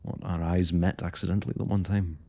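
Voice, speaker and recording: A man's voice: low-pitched, flat delivery, a little fast, vocal fry, warm tone. A man playing a young royal blacksmith in an intimate fantasy roleplay, speaking to the listener in courtly, hesitant, old-fashioned phrasing. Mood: wry, reminiscing. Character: young male royal blacksmith, smitten, forthright, proud working man.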